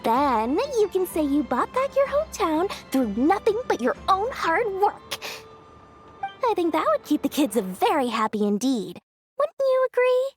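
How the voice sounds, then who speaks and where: A woman's voice: very high-pitched, wildly swooping pitch, a little slow, full character voice. A woman voicing a cheerful, theatrical female merchant in a video game, with showy salesmanship. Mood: playful, delighted. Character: perky, high-voiced female merchant, cheerful theatrical seller. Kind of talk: animated video game acting, sales pitch. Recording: faint music behind.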